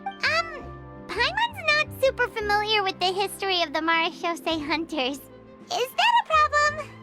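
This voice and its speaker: A woman voicing a tiny, excitable childlike fairy companion in a video game, with a squeaky, exclamatory delivery. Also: normal speed. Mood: curious, hesitant. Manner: refers to self in third person, exclamatory. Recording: music playing behind.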